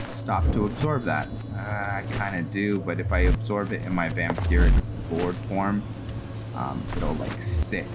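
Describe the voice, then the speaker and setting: Male game commentator: low register, unhurried and halting delivery, casual roleplay narration, prominent music underneath. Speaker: gaming video host.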